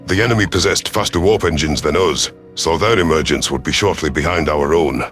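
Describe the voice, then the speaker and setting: Male reader as gruff alien rebel commander: very low, proud, sardonic, stern, first-person sci-fi narration. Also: a little fast, fairly bright tone, faint music behind. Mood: stern, measured. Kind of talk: first-person scripted audio story narration.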